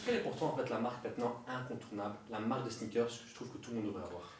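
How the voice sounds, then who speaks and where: A man's voice: mid-range voice, rapid-fire, a little hiss or hum. A man hosting an interview in a sneaker store, asking casual, slangy questions and thinking aloud as he speaks. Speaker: interviewer host. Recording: echoey store from far off.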